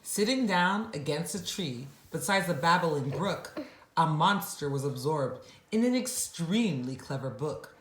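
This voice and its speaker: A woman narrates a rhyming bedtime story in an expressive style. Her delivery is slow with very lively intonation. The room adds noticeable echo. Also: deep voice.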